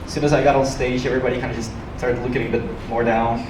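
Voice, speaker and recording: A man from Ukraine performing stand-up comedy to a live audience with a dry, joking delivery and hesitant pauses. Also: mid-range voice, level tone. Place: small comedy club stage, live audience. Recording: roomy, noisy club recording from a distance.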